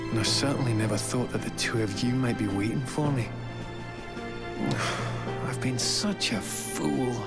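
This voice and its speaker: An actor playing a remorseful, disfigured scientist husband and father in a video game drama, earnest, halting and melodramatic. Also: mid-range voice, close to an everyday voice. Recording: loud music behind the voice. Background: loud background music.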